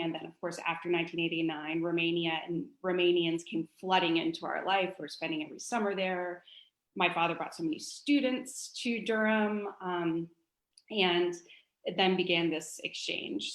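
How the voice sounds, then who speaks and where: A woman's voice: lowish voice, a bit bright. A woman around forty with an American accent, an author talking casually and expressively about her own life. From North Carolina, United States. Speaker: author and poet, guest. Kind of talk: casual personal storytelling at a book launch. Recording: roomy, echoey livestream audio.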